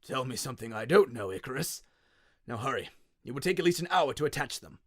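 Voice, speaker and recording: A man voicing a defiant old inventor father with a weary croak in a mythic audio story. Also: mid-range voice, lively intonation, fast talker, fairly bright tone, dry recording, studio quality. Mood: proud, brisk. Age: older. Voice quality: croaking, groaning.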